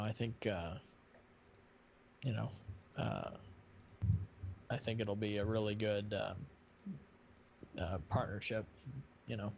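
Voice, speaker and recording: A man's voice: on the low side, vocal fry. A man chats casually as a live stream host, rambling and feeling his way through his thoughts. The sound is somewhat muffled, with light noise behind him. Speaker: live stream host of a fan dubbing project. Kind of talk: casual chat. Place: online voice-chat live stream.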